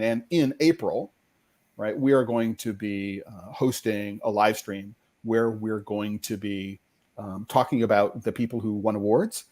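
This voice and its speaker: A man's voice: lowish voice, darkish tone. A man hosting a casual live-stream interview, talking conversationally with frequent ums and restarts. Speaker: host.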